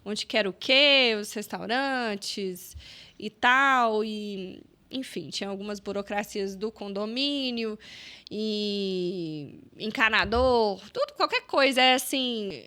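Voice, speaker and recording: A woman with a Brazilian Portuguese accent, a podcast guest recounting her career in a lively, chatty way full of fillers and quoted self-talk. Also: mid-range voice, takes it slowly, clean tone. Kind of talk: casual storytelling interview.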